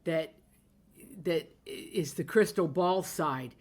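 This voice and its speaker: Woman, interview host, enthusiastic, gushing, low-pitched. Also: slow, darkish tone. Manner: effusive, enthusiastic praise. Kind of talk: interview conversation.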